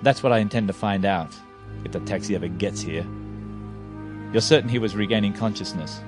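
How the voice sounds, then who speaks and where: A man acting a cold villain in charge, in a radio play. His voice is fairly low, curt and formal, full of authority. Music is clearly heard behind him. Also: brisk, lightly acted. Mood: cold, commanding.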